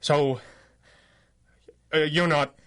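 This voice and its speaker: Flustered, apologetic superhero. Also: male voice, fairly high pitch, fairly even intonation, a bit bright. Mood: awkward, sheepish. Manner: halting, stammering, apologetic. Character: flustered, apologetic adult male masked superhero, secretly lovestruck. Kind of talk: 1930s radio adventure serial acting.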